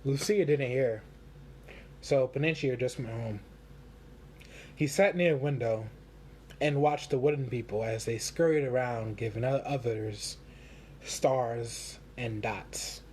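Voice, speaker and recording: A man reading a storybook aloud for children in simple, deliberate phrases. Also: mid-range voice, darkish tone, slight background noise.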